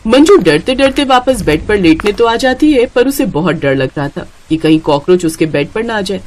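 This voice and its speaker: A woman's voice: mid-range voice, lively intonation, normal speed, darkish tone, a little hiss or hum. Woman narrating a scripted story.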